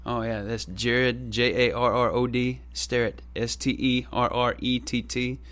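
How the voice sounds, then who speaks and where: A man with a Texas accent talks as a guest on a radio show, relaxed and joking. His voice sits at a middle pitch. He speaks in an easygoing, conversational way. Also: unhurried. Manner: laid-back, easygoing, laughs often. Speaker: guest musician. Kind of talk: casual radio interview. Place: radio studio.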